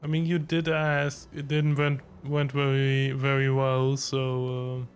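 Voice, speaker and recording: A man's voice: mid-range voice, completely flat delivery, slow pace. A man giving casual game reaction commentary as a streamer, informal, laid-back and hesitant.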